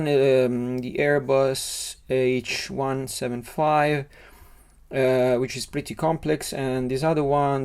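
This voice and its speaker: A middle-aged man speaks English with an Italian accent while he works through a building design out loud. His speech is halting, and he often breaks off and restarts words as he sketches. His voice sits at an ordinary middle pitch. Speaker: LEGO model designer. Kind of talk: thinking-aloud design tutorial.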